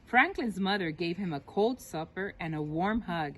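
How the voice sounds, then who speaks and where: A woman reads a children's story aloud in an expressive, emphatic way. Her intonation is very animated, rising and falling often as she addresses young listeners. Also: fairly low pitch, normal speed, slight background noise.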